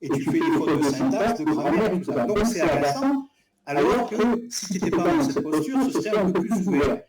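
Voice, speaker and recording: Man, blunt critic, halting delivery; recorded far off in an echoey room with a loud crowd behind. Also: a little high, expressive. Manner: blunt, critical, hesitant restarts. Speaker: guest in a live debate. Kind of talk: live stream debate.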